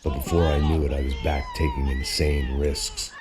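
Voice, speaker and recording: A man playing a jaded, middle-aged, hard-drinking action hero in deadpan, world-weary noir game narration. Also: rumbling low, normal speed, over loud music, over a noisy crowd, full character voice. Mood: brooding, weary. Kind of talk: hardboiled noir voice-over narration.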